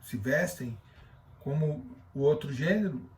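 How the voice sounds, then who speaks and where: A man with a Brazilian accent giving an explanatory class, speaking haltingly as he searches for words and reads loosely from notes. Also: a little high, animated. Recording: distant from the microphone.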